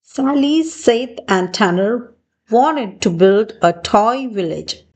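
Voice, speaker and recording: Female children's storybook narrator, extremely unhurried delivery, highly animated intonation, expressive read-aloud for little ones. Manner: slow, deliberate, expressive phrasing. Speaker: children's book reader.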